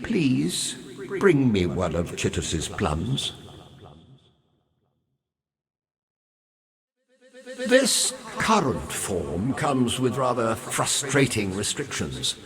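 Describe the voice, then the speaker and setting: Game role: pompous revenant sea captain, wry and morbid, hugely animated pitch, slow relished delivery. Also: male voice, a crowd in the background, full character voice. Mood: coaxing, rueful. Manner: florid, pompous, savoring his words. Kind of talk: theatrical video game character dialogue.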